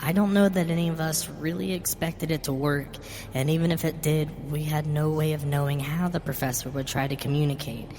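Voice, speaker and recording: A woman's voice: sits low, fairly even intonation, brisk, darkish tone. A woman reading a scripted first-person horror story as its narrator. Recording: long reverb with music behind. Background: music.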